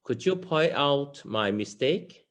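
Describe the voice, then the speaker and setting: A man whose first language is Chinese, practicing English in a lesson, hesitant and halting with many restarts. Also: mid-range voice, animated. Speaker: English student. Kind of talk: lesson conversation.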